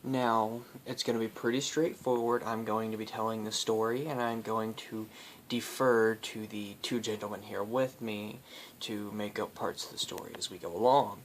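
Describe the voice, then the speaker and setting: Halting male storyteller, noisy recording. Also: fairly low pitch, steady tone. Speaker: storyteller, host. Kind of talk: casual improvised storytelling.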